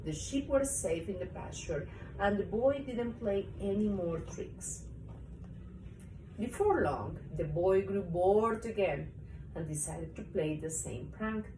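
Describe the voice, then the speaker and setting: A woman reading a children's story aloud to a young child in an animated, expressive way. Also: medium pitch, slow pace. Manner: expressive, acts out story parts. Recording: roomy, distant mic, faint noise.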